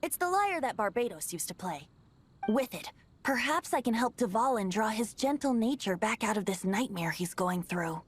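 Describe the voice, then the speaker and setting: A woman's voice: fairly high pitch, expressive, normal speed, a little hiss or hum, close to an everyday voice. A woman playing a cheeky, grandiose young male bard, secretly a god, in a video game cutscene. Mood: earnest, confident. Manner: grandiose, playful, flowery phrasing. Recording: music under the voice.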